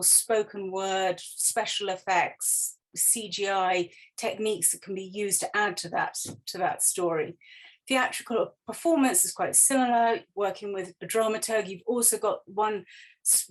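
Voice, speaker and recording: Woman writer doing an online talk, mid pitched, chatty explaining, loose phrasing. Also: low-quality recording. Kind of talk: informal explanatory presentation over slides. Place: online video call.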